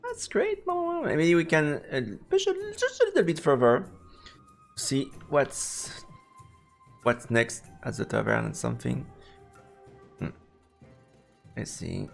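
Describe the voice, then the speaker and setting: A man hosting a live let's play, reading game text aloud haltingly and adding playful muttered commentary. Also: on the high side, big pitch swings, creaky. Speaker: streamer, let's play host. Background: game music.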